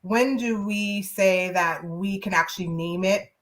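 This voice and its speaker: A woman's voice: medium pitch, measured pace. A woman panelist speaking conversationally in a discussion, earnest and direct.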